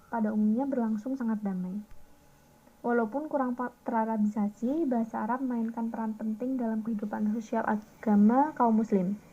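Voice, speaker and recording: Bright-voiced young woman presenting, lo-fi audio. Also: on the high side. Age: young adult. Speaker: student presenter. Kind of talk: scripted academic presentation, read aloud.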